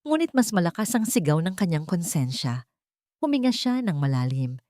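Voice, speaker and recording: A woman reading a dramatic scripted audio story with an expressive, suspenseful delivery. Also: on the low side, normal speed, dry recording, clean studio sound. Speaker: narrator.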